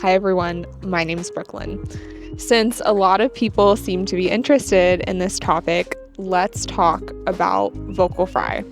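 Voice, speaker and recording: A young American woman with a light vocal fry, talking to her channel audience in a measured, explanatory way. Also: on the low side, fairly even intonation, faint music behind. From United States. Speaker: channel host. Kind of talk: scripted talk to camera.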